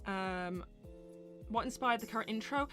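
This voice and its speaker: A woman's voice: fairly high pitch, moderate pace, bright, forceful tone, faint music behind. A woman hosting a casual livestream Q&A, reading out and answering viewer messages in an informal, chatty way. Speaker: host of a fan series livestream Q&A.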